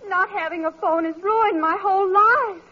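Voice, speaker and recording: A muffled old radio recording of a teenage girl. Her voice is extremely high, whiny and plaintive, making everything a tragedy. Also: normal speed, sharp tone. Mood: tearful, wailing. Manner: plaintive, wailing. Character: melodramatic, whiny teenage daughter. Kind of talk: 1940s radio comedy acting.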